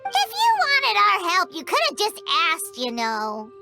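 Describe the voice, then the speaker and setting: A perky little fairy companion from a video game. The childlike voice is very high with creaky edges and swings around freely, cheeky and exclamatory. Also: female voice, huge pitch swings, unhurried, sharp tone, full character voice. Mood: miffed. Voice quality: squeaky, childlike. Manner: exclamatory, speaks of herself by name. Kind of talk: English video game voice acting. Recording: music behind the voice.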